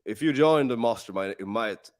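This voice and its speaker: A man's voice: mid-range voice, expressive, normal speed. A man hosting a live question-and-answer stream, talking casually with lots of swearing and filler words. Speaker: live Q&A host. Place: in Warsaw.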